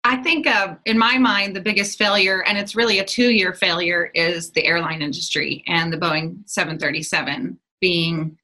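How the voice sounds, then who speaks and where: A woman who is a regular podcast guest, speaking in a measured, thoughtful way. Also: lowish voice, bright, forceful tone. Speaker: podcast guest, marketing director. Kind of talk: casual podcast discussion.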